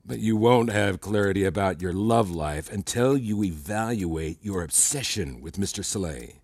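An actor playing a controlling, self-assured therapist in a fiction podcast, with a patronizing, deliberate, needling manner. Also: male voice, low voice, lively intonation, a little mellow, slightly rough, no echo, studio quality, exaggerated voice. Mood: cold, controlling.

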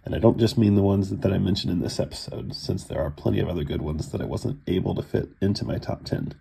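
A man's voice: low voice, fast, creaky, background noise. A man from Cincinnati hosting a solo podcast in a casual, chatty way, sounding groggy from a cold. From Cincinnati, USA. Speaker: podcast host.

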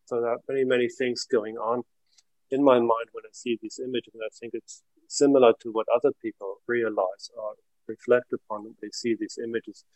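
A middle-aged man from Germany speaks as an academic guest, thinking aloud in long, careful answers. His pitch sits at an ordinary middle level for a man. The sound is dry and close, with no room around it. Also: flat delivery, a little mellow, no room sound, poor audio. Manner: measured, reflective, trails off at phrase ends. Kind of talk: thoughtful academic interview answers.

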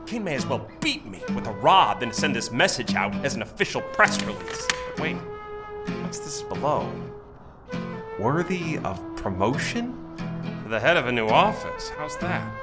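Haughty scheming courtier, wildly swooping delivery. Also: male voice, medium pitch, huge pitch swings, moderate pace, music in the background. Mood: indignant. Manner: theatrical, haughty. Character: scheming, vain, insulted male court official plotting revenge. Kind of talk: audio drama acting.

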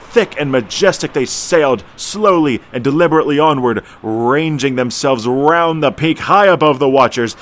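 A man narrating an eerie old tale as a solemn storyteller, in a measured, theatrical delivery. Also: medium pitch, a bit bright. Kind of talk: scripted horror story narration.

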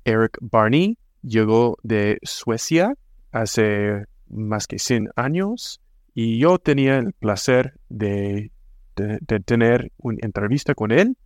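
Slow, hesitant American man hosting. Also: on the low side, no echo, clean studio sound. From United States. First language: English. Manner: hesitant, frequent restarts and fillers. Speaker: podcast host. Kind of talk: casual podcast intro.

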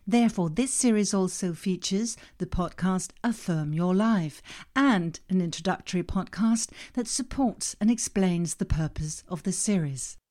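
A woman whose first language is Danish, reading her own self-help podcast in a calm, measured way with slow emphasis on key words. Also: mid-range voice. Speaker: podcast host and author. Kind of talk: scripted self-help narration.

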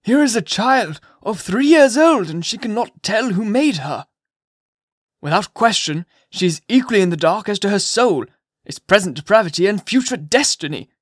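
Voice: mid-range voice, expressive, normal speed, no room sound, studio quality, pitched above the performer's own voice, lightly acted. A reader voicing a stern, indignant old Puritan magistrate who speaks pompously.

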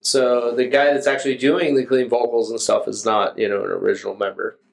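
Guy with an average pitched voice on a music podcast, explaining stuff, casual but measured. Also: level tone, vocal fry. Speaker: album reviewer on a podcast. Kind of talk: casual chat, music review.

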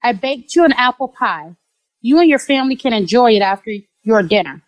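An actress playing a sweetly sociable, scheming neighbour in an audio drama. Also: female voice, on the high side, lively intonation, fairly quick, no echo, lightly acted, low-quality recording. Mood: neighbourly. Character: scheming, sugary-sweet pregnant woman neighbour and friend.

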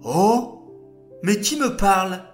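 A man voicing a modest, gentle shepherd hero in a children's story, earnest and pleading. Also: high voice, expressive, takes it slowly, soft background music, pitched above the performer's own voice, exaggerated voice. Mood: wondering.

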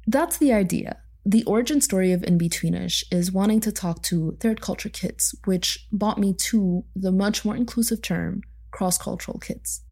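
A woman's voice: medium pitch, moderate pace, creaky, few pauses, darkish tone, slightly rough. A young woman hosting a solo podcast, talking reflectively with small laughs and asides. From Egypt. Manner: thoughtful, with light laughs and asides. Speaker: podcast host.

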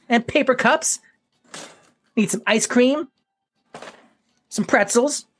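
A woman voicing an excitable, childish young male monkey in a children's cartoon, giddy and whiny. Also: a little high, heavily acted. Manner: giddy, whiny, easily distracted.

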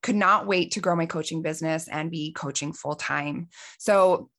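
A woman in her forties, a life coach hosting her podcast, telling a personal story in an earnest, heartfelt way, her voice a little hoarse from allergies. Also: deep voice, normal speed, fairly bright tone. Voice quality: slightly raspy.

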